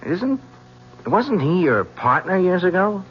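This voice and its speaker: A rich man's grown young son in a radio play. He is casually sardonic with an animated pitch. The old broadcast sounds dull and slightly noisy. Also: takes it slowly, full character voice. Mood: sardonic, probing. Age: young adult. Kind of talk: 1970s radio drama acting.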